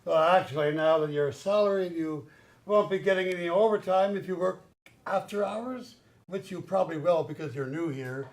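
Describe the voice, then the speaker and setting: A man's voice: sits high, low-quality recording. A man in a satirical staged reading playing a condescending, coldly cynical middle manager. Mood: condescending, menacing. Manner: condescending, deliberate.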